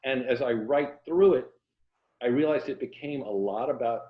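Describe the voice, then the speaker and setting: A man's voice: medium pitch, moderate pace. A mature American man talking casually and reflectively as a writer being interviewed. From Tampa, Florida, United States. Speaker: interview guest, writer and former journalist. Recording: roomy echo, dull muffled sound.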